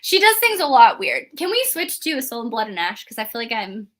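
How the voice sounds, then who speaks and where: Bright, higher-pitched woman chatting casually. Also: very animated, brisk. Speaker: book review show co-host. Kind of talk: casual chat about books.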